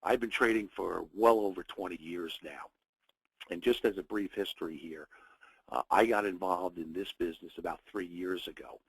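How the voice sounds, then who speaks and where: Low, husky man hosting a webinar. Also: normal speed, no room sound, poor audio. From United States. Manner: friendly, welcoming, speaks in short phrases with fillers. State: nasal from a cold. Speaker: webinar host, trading educator. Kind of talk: live webinar presentation.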